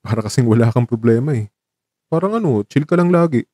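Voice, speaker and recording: A man's voice: mid-range voice, lively intonation, fast talker, dark tone, dry recording, studio quality, close to an everyday voice. An actor playing a guarded, weary young man in love in a romantic radio drama, with an understated, evasive casual manner. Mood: subdued, wistful.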